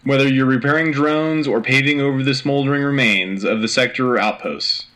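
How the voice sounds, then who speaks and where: Man as patriotic war radio anchor, sharp voice, urgent, crisp, official bulletin delivery. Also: mid-range voice, normal speed, lightly acted. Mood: grave, resolute. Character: earnest, patriotic male wartime radio news anchor. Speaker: radio host. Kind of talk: scripted military news bulletin.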